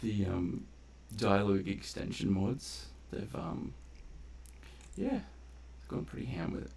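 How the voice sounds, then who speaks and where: A man's voice: lowish voice, very animated, takes it slowly, gravelly, darkish tone, slight background noise. A man giving casual gameplay commentary, thinking aloud in a laid-back, colloquial mutter. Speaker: gameplay video host.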